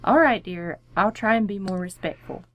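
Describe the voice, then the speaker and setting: A woman's voice: medium pitch, normal speed, natural voice. A woman playing a composed, dry-witted wife and mother in her thirties in an old-time radio family comedy. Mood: wry. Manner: wry, composed.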